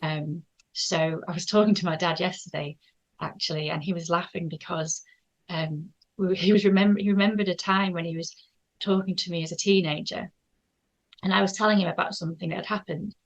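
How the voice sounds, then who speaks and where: Gentle, hesitant woman. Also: on the low side, steady tone, a little fast, dull audio. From North Yorkshire, England. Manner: shy, gentle, hesitant with many ums. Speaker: guest artist. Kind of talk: casual interview chat.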